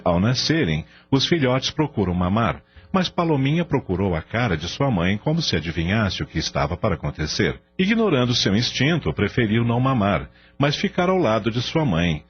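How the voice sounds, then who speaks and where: A man narrates a radio drama in a deep voice with a Brazilian accent. His scripted storytelling is solemn yet moves widely in pitch. The recording is slightly dull, with music behind him.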